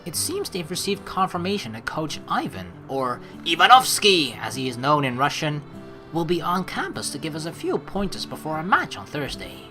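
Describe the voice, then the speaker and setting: A woman's voice: sits low, very animated, moderate pace, soft background music. A woman let's play streamer reading game text aloud and adding chatty commentary.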